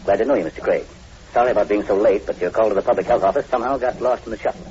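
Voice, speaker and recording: An actor playing a sceptical public health doctor in a 1940s radio drama, brisk, rational and dismissive. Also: male voice, lowish voice, lightly acted. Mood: brisk, concerned. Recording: muffled old radio recording, faint noise.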